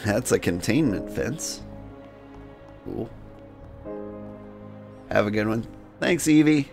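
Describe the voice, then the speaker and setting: A man with a fairly low, distinctly creaky voice hosts a game livestream over light music. He moves between reading out game text and casual, rambling asides with a joking streak. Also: expressive, slow pace.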